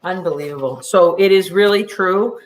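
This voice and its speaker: A woman's voice: fairly low pitch, moderate pace, a little hiss or hum. Effusive woman in her forties moderating. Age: 40s. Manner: effusive and encouraging. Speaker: panel moderator. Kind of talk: introducing and moderating a panel. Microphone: video call.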